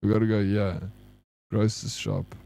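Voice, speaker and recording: A man's voice: fairly low pitch, flat delivery, unhurried, vocal fry, dark tone. A man with a South African accent, giving casual, joking gameplay commentary as a streamer and bantering with his chat. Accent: South African English. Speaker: game streamer.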